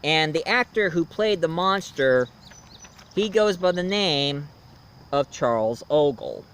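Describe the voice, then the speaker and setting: A man giving a casual movie review as host, in a halting way with pauses mid-sentence and repeated phrases. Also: fairly high pitch, lively intonation, a bit bright, smooth.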